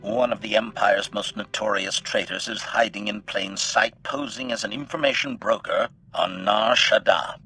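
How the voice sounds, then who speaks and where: A calculating killer droid from a video game, his low voice run through a robotic filter. He speaks in formal, clipped statements, labelling each one, and relishes carnage with deadpan, servile precision. Music plays clearly behind him. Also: moderate pace, hardly any pauses, rough recording. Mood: cold, efficient. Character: cold, calculating male assassin droid, gleefully bloodthirsty, loyal servant. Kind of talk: scripted video game acting. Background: background music.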